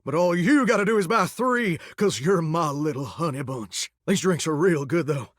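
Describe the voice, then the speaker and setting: An actor voicing a lovesick talking shower in a game, with a drawl and a folksy, earnest way of talking. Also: male voice, on the high side, expressive, moderate pace, dry recording, clean studio sound. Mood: wheedling. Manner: folksy, earnest and sweetly corny. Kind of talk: video game character dialogue.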